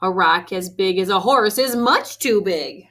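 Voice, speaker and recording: A woman reading a picture book aloud to children expressively, with deliberate emphasis on key phrases. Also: mid-range voice, animated, pushed and bright. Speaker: read-aloud storyteller for children. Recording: faint background noise.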